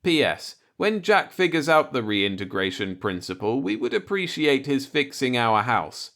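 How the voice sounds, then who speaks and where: A man's voice: medium pitch, very animated, moderate pace, a bit bright. A man reading a light-hearted short story aloud as an audiobook narrator, in a scripted storytelling style.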